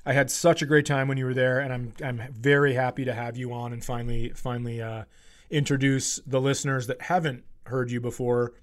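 A man's voice: mid-range voice, flat delivery, a little fast. A man hosting a podcast, chatting casually and jokingly with informal, sweary talk. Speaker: podcast host. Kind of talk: casual comedic podcast chat.

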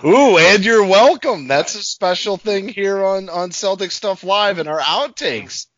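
A man's voice: high-pitched, lively intonation, unhurried, a bit bright. A man co-hosting a casual sports podcast, talking conversationally with the others. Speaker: podcast co-host. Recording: slightly dull, dry podcast audio.

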